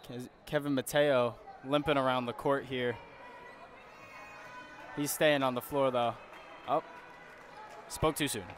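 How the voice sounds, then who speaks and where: A man's voice: mid-range voice, fairly even intonation, takes it slowly, long pauses. A man giving enthusiastic, casual color commentary on a college basketball game. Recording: crowd and court noise behind the commentary.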